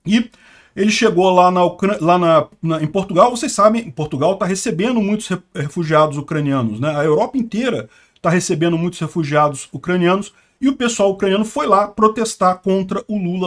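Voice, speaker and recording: A man's voice: sits high, brisk. A Brazilian man giving sarcastic, indignant political commentary. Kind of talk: opinionated news commentary.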